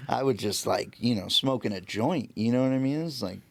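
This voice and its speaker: A young man talks in a casual, slangy way as part of a podcast group. His voice sits at an average pitch and is recorded close and dry. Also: animated, unhurried, slightly rough, no echo. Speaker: podcast panelist.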